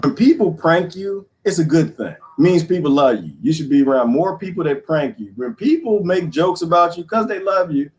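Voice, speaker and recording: A man hosting a casual livestream, rambling and joking with viewers, laughing often, his voice going hoarse. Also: a little high, lively intonation, normal speed, few pauses, a little mellow. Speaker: livestream host.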